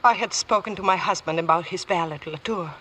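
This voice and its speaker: A woman playing a composed, enigmatic young foreign widow on trial in a vintage radio drama, answering in measured, formal phrases. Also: on the low side, a bit bright. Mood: guarded. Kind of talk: 1940s-50s radio courtroom drama acting. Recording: faintly noisy vintage radio recording.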